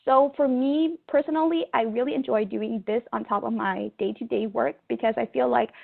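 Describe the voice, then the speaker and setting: A young woman from Thailand giving an earnest testimonial talk, steady, with frequent ums and self-corrections. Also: fairly high pitch, normal speed, gravelly. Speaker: procurement manager. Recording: tinny phone-like audio.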